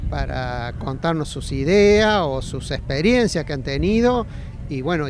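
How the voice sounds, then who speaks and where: A middle-aged man with an Argentine accent answering interview questions outdoors into a handheld mic, with halting, hesitant phrasing. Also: a little high. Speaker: agronomy faculty representative being interviewed. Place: outdoors at a busy fairground. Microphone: handheld interview microphone.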